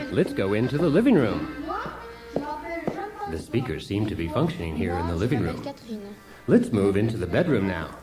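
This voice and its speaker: Radio comedy: male actor as unflappable, cheery home-improvement host, very lively intonation, mid-range voice. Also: mid-range voice, lightly acted. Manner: chirpy instructor patter, unflappable. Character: relentlessly cheerful, oblivious DIY show host husband. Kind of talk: radio comedy parody of instructional show.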